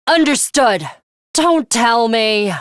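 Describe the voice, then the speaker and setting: A woman's voice: a little high, animated, slow pace, dry recording, clean studio sound. An actress playing a stern, composed young woman fighter in an English video game, blunt and guarded, with clipped, formal, matter-of-fact delivery. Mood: commanding, terse.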